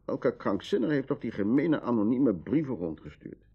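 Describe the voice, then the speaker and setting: An actor playing an elderly, troubled and protective grandfather in a radio drama, with a gravelly, husky voice. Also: medium pitch, big pitch swings, moderate pace. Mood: indignant, distressed. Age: older. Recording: dull vintage radio recording.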